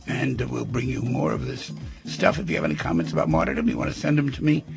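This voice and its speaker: A man hosting a call-in radio talk show who asks callers gentle, probing questions. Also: mid-range voice, sing-song, quick pace, vocal fry, muffled recording, over music.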